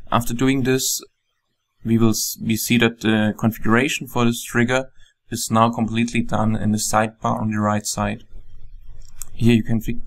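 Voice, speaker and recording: German-accented male developer, low flat voice, calm plain explainer with ums. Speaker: software developer. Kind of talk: screen-recorded software walkthrough.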